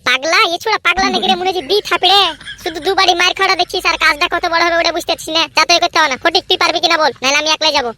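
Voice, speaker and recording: Woman voicing a high, bossy scheming cartoon boy. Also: fairly even intonation, rapid-fire, bright, forceful tone, a little hiss or hum. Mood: impatient, scolding. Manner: impatient, commanding, boastful. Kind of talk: amateur comedy cartoon dub.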